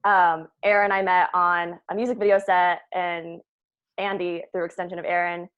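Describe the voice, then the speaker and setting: A woman's voice: fairly low pitch, little pitch movement, normal speed, fairly bright tone, clear, resonant tone, lo-fi sound. A woman speaking casually and jokingly as a writer leading her cast's table read over a video call. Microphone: video call microphone.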